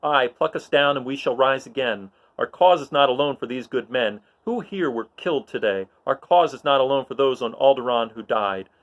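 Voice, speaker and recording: A man's voice: mid-range voice, moderate pace, hardly any pauses, lo-fi sound, close to an everyday voice. A man acts out a young rebel pilot with a fiery, idealistic heart. The delivery is earnest and declamatory, raised above everyday talk, in the grand style of a Shakespearean stage reading. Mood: defiant, rousing.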